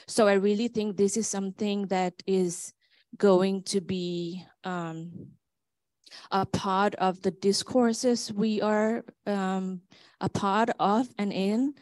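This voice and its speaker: A young woman whose first language is Danish speaks slowly and earnestly, pausing often as she makes a comment at a conference. Her pitch is mid-range. The recording is dry and close. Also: a little mellow, no room sound. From Denmark. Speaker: doctoral student commenting from the audience.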